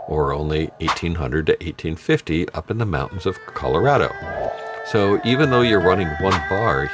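A middle-aged man giving a scripted technical lesson in a steady, explanatory way with dry jokes. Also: sits low. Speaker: automotive tuning instructor. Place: dark studio set with purple lights. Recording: music bed underneath.

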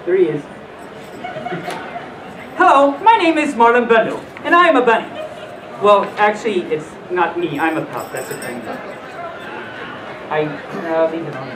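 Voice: medium pitch, lively intonation, measured pace, pauses often, a crowd in the background. A storytime host reading aloud to a live audience in a playful way, with casual asides. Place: hotel function room at a convention. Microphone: distant camera microphone, speaker in a full mascot costume. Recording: roomy, distant, noisy camera audio.

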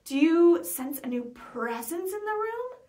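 A woman voicing an excited, inquisitive schoolboy obsessed with ghosts, in a storybook reading. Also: very high voice, unhurried, roomy sound, pitched above the performer's own voice, full character voice. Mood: eager, curious. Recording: voice picked up from some distance.

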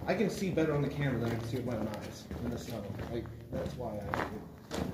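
A young man vlogging as he explores a railway tunnel, excitable and sometimes dropping to a hush. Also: medium pitch, quick pace. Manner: excitable, hushed when nervous. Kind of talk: casual vlog chat. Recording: echoey tunnel from far off, loud noise.

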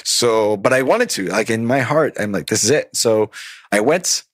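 A man in his thirties, originally from Colombia, a stand-up comedian telling stories in a casual interview, animated and laughing often as he acts out what people said. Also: lowish voice, moderate pace, no echo. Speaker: podcast guest, stand-up comedian.

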